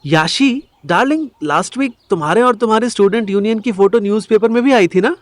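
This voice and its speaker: A man's voice: high voice, brisk, dry recording, lightly acted. A man playing an affectionate, soothing husband in an audio drama. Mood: uneasy.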